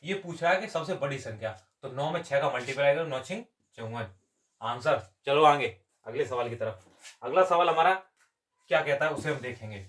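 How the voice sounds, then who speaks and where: A man's voice: medium pitch, animated, a little fast, fairly bright tone. A man teaching step by step as an exam maths coach, asking questions and answering them himself. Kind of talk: step-by-step lesson.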